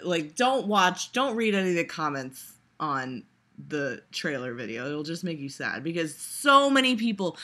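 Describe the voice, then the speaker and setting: A woman's voice: on the low side, bright, forceful tone. A woman vlogger giving a casual, exasperated, profane rant, full of fillers and restarts. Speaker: vlogger reviewing a trailer. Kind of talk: casual ranting reaction.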